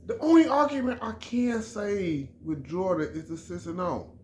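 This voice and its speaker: A man's voice: high-pitched, normal speed, a little mellow, a little hiss or hum. A middle-aged man from Chicago giving an emphatic, repetitive sports opinion in a casual vlog, often restarting his phrases. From Chicago, USA. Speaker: vlogger.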